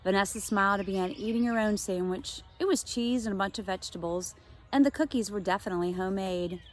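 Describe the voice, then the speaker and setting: A woman teacher reads a children's novel aloud in an expressive, storytelling manner. Her voice sits at an ordinary middle pitch, and she breaks off now and then for easy asides to her listeners. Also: quick pace.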